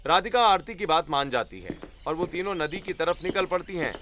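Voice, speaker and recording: Guy narrating a hindi story, voice on the higher side, some noise behind it. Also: expressive, fairly quick, bright, forceful tone.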